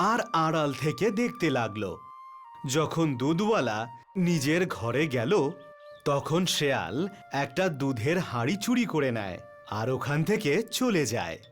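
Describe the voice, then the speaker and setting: A man with a somewhat high voice narrates a children's story. His scripted delivery is animated, with faint music underneath.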